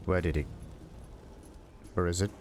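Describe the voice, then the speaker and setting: A man's voice: low-pitched, fairly even intonation, unhurried, noisy background. A man doing casual let's play commentary, thinking aloud to himself with game music behind him. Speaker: gaming video host. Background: video game music.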